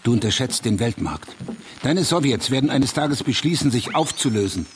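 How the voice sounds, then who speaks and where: A man's voice: medium pitch, animated, moderate pace, slight background noise, close to an everyday voice. An actor playing a scornful, taunting male sceptic in a radio drama, declaiming ominous prophecies. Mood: prophetic, scornful.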